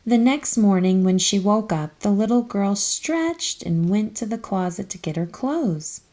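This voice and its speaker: A woman narrating a children's storybook aloud in an expressive, careful storytelling manner. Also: mid-range voice, unhurried.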